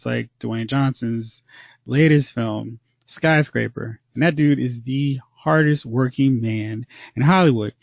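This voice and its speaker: Gravelly-voiced man hosting a movie chat. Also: medium pitch, dry recording. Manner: upbeat, chatty, quick asides. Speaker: podcast host. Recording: muffled lo-fi dry recording.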